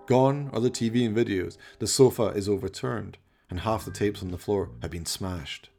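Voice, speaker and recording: A man's voice: lowish voice, moderate pace, creaky, a little mellow. A man narrating a comic horror radio play with theatrical, dramatic storytelling.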